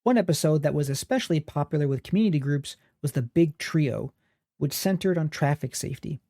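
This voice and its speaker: A man hosting a history podcast, reading scripted narration in a steady, formal way. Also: fairly high pitch, brisk, gravelly, a little hiss or hum. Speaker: podcast host.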